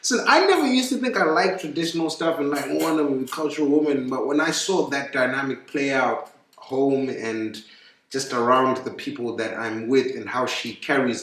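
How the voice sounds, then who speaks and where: A man's voice: mid-range voice, fairly bright tone. A young man from Zimbabwe, chatting casually and animatedly, swearing and stumbling over repeated words. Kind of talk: casual chat. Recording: very echoey room, far from mic.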